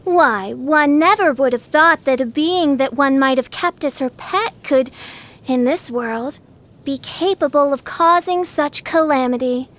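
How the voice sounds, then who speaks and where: High-voiced, pompous teenage princess. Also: female voice, measured pace, fairly bright tone. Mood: disdainful. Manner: pompous, archaic, dramatic royal speech. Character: grandiose theatrical teenage girl, self-styled princess. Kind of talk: video game character voice lines.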